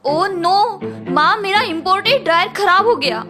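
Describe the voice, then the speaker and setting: A woman voicing a spoiled, sharp-tongued college-age sister-in-law who complains in a cartoon story. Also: extremely high pitch, animated, measured pace. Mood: annoyed, accusing. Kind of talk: Hindi cartoon story acting. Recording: music bed behind the voice.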